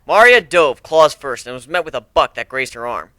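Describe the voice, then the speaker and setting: A man's voice: fairly high pitch, big pitch swings, moderate pace, sharp tone, no room sound. A man reading a first-person fantasy story aloud as an amateur dramatic narrator.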